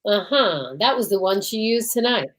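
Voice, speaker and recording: Plain-spoken police detective played by a woman, mid-pitched. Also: expressive, normal speed, lightly acted. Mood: questioning, matter-of-fact. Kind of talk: old-time radio detective drama acting.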